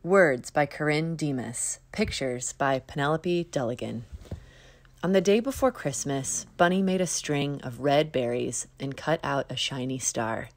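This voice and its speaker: A woman narrating a children's picture book in a measured read-aloud manner. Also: very deep voice, no echo. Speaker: storybook narrator. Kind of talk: scripted children's story narration.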